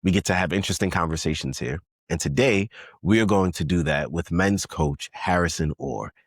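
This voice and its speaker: Brooklyn guy hosting a podcast, low voice, chill and thoughtful, dry mic. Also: normal speed, husky, dry recording. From New York. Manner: relaxed, reflective, repeats guest's points back. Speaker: podcast host. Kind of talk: conversational interview.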